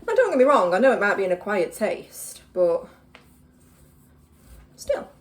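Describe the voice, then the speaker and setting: A woman's voice: mid-range voice, huge pitch swings, unhurried, recorded from a distance. A young woman with a Yorkshire accent, chatting casually to camera in her kitchen, rambling with lots of fillers. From Yorkshire, England. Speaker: vlogger. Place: home kitchen, indoors. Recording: echoey room with background noise.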